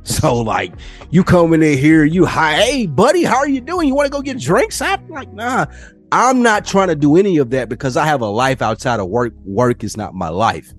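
A man's voice: fairly high pitch, big pitch swings, moderate pace, soft background music. A man co-hosting a podcast in casual conversation, slangy and profane, giving his opinions freely. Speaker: podcast co-host.

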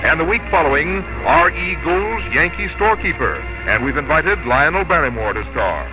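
A man reading scripted announcements and advertising as a 1950s radio announcer, with a polished, measured sales delivery. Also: on the high side, animated. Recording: dull vintage radio broadcast, music behind. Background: music.

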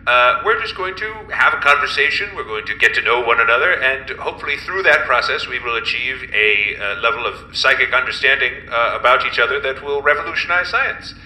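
A man playing an eccentric professor running an experiment on a space station, grandiose and halting with many uhs. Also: medium pitch, expressive, pushed and bright, roomy sound, rough recording. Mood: grand.

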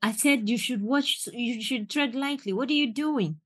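A woman from Nigeria co-hosting a podcast, playful and teasing, with quick retorts. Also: a little high, breathy edge. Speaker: podcast co-host. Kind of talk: casual debate chat.

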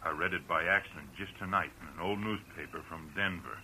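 A man's voice: low-pitched, a little fast, pushed and bright, exaggerated voice, low-quality recording. An American man playing a pushy, hot-headed schemer from the country in punchy 1940s radio drama style. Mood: urgent, greedy. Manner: insistent, punchy, plain-spoken. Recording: hissy old radio broadcast recording.

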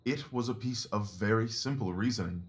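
Man acting as Victorian master detective, lowish voice, assured, precise, analytical, instructive, audio drama. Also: normal speed, lightly acted. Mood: cool, courteous. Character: brisk, confident, analytical male Victorian detective.

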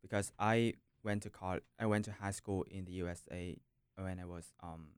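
A young man from Vietnam, native in Vietnamese, speaks as a student guest. His voice is somewhat low and smooth, captured dry, and his talk is casual. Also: fairly even intonation, normal speed, no echo. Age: late teens. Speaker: podcast guest, college student.

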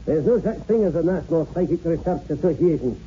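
An actor plays a brisk doctor in vintage radio drama. His voice is lightly high yet very soft-edged, with clipped authority. The old recording is dulled, with slight hiss. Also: animated, dull audio, lightly acted. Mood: accusing. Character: brisk, authoritative male doctor investigating a mystery. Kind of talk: 1960s radio drama acting.

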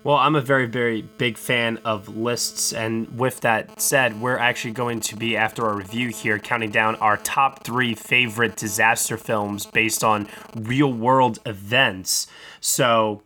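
Man, podcast host, bright forceful voice, upbeat chatty film talk. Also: mid-range voice, steady tone, measured pace, faint music behind, rough recording. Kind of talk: casual film review podcast chat.

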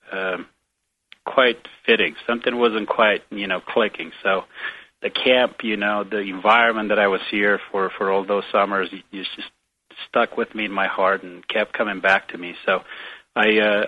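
A middle-aged man from Romania with a Romanian accent, speaking as an interview guest, hesitant with many fillers and restarts. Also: on the low side. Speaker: guest, foundation president. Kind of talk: casual interview conversation. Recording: tinny phone line, dry.

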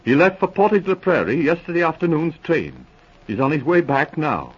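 An actor playing an eager, excitable police officer giving urgent reports in a 1940s radio drama. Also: male voice, a little high, normal speed, breathy edge. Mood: earnest reporting. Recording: muffled old radio recording.

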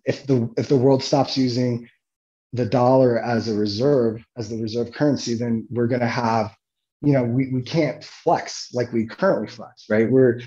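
A man guest in a casual podcast conversation, talking in halting bursts with many restarts. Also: mid-range voice, dark tone, highs cut off.

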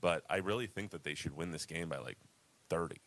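A man's voice: deep voice, a little fast, fairly bright tone. A man in his twenties co-hosting a casual sports podcast, rambling and laughing often. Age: 20s. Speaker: podcast co-host.

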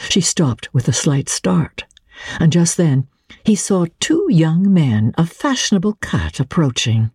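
A woman narrates literary fiction with dramatic expression. Her voice is very husky and mellow, and her pitch moves about freely in a lively reading. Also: a little slow, no echo, clean studio sound. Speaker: audiobook reader.

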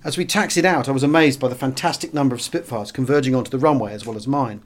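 A British man narrates a memoir as an audiobook reader. His voice sits at a medium pitch. He tells the story in a measured, expressive way, with a dramatic first-person feel.

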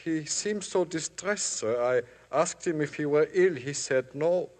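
A man's voice: fairly high pitch, measured pace, a little mellow, natural voice. An actor playing a humble male witness in a 1950s radio drama, deferential and polite in manner. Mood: earnest. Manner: deferential, always adds "sir". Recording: vintage radio broadcast, long hall reverb.

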